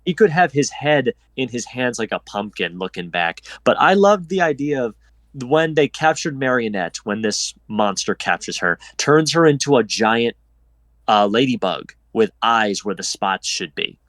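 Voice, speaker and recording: A man in a casual retrospective chat, talking in an effusive and expressive way. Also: mid-range voice, moderate pace, no room sound. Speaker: audio drama narrator on a panel.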